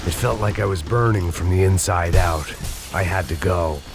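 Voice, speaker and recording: A man playing a bitter, burnt-out middle-aged bodyguard and ex-cop in a video game, narrating in a deadpan, sardonic noir style. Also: low-pitched, moderate pace. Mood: grim, sardonic. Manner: world-weary, deadpan, wry. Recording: loud music over the voice.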